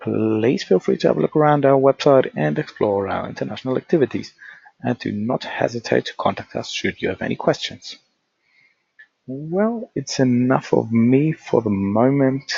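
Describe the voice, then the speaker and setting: A man's voice: medium pitch, gravelly, darkish tone, husky, no echo. A man moderating a webinar from an office, politely reading a scripted introduction and risk disclaimer. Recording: dull lo-fi webinar audio.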